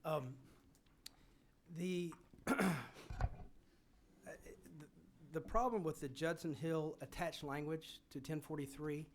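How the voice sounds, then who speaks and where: A man's voice: fairly high pitch, takes it slowly, pauses often, slight background noise. Hesitant male physician testifying. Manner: hesitant, many fillers and restarts. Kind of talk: committee testimony. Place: legislative committee room.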